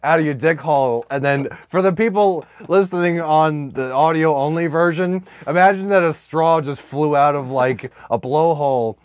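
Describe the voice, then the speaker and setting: A man's voice: a little high, moderate pace, hardly any pauses. A man co-hosting a podcast, chatting casually with crude, profane humor and plenty of joking. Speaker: podcast co-host. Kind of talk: casual comedic chat.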